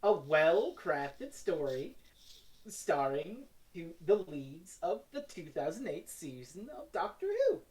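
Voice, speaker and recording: A woman's voice: fairly low pitch, sing-song, takes it slowly, darkish tone, poor audio. A woman co-hosting a casual review podcast, bantering in a sardonic, playfully theatrical way. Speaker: podcast co-host.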